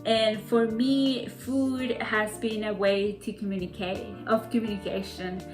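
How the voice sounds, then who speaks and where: A woman's voice: mid-range voice, fairly even intonation, measured pace, over music. Woman from Ecuador, echoey kitchen. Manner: warm, reflective, with many fillers and restarts. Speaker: community contributor. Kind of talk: cooking demonstration narration. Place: home kitchen.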